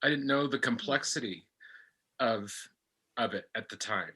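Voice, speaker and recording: A middle-aged man speaking reflectively about personal experience, haltingly, repeating words as he searches for them. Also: medium pitch, pushed and bright, slightly rough. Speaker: actor on a discussion panel, speaking as a parent.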